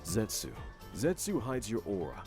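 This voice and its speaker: Low-voiced man narrating a game explainer. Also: wildly swooping pitch, measured pace, darkish tone, faint music behind.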